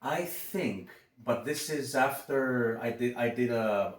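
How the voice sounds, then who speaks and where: A man with a Greek accent hosting a podcast, talking casually with many halting restarts. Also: mid-range voice, echoey room, recorded from a distance. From Greece. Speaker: podcast host. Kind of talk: casual interview chat.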